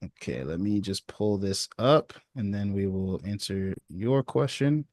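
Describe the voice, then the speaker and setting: A man hosting a group call as a sales coach, giving relaxed, encouraging feedback with a casual manner. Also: fairly low pitch, unhurried, no echo, studio quality.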